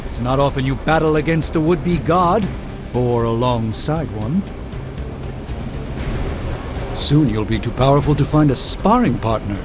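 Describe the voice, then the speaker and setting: A man's voice: mid-range voice, expressive, moderate pace, noisy background, close to an everyday voice. A man playing a proud, erudite sorcerer superhero in a video game, speaking formally with dry wit. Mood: eager, wry. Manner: formal, wordy, dryly witty. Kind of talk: video game character dialogue. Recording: muffled game capture with music behind.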